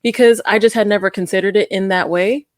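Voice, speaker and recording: A woman co-hosting a casual chat podcast, rambling and animated, jumping into high-pitched voices when quoting herself. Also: fairly quick, no echo, clean studio sound. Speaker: podcast co-host.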